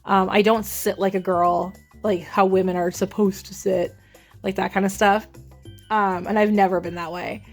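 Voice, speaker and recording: A young woman chats away in a loose, rambling vlog style. She fills pauses with ums and corrects herself as she goes. Her pitch sits in an ordinary middle range, and soft music plays faintly behind her. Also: flat delivery. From Minnesota, USA. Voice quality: deep voice. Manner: rambling, chatty, many ums and self-corrections. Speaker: vlogger. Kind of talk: casual chat.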